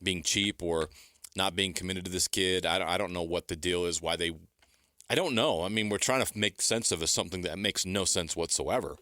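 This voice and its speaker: A man's voice: low voice, normal speed, sharp tone, dry recording, clean studio sound. A man with an American accent co-hosting a sports radio show, plain-spoken and conversational. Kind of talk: casual sports talk discussion.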